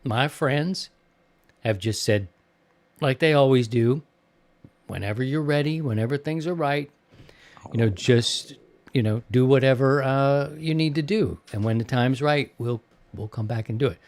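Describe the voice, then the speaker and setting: A man's voice: mid-range voice, measured pace, a little mellow. A man in his early fifties hosting a hobby radio show in a casual, candid and chatty way. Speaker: host of a ham radio show. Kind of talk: casual podcast chat.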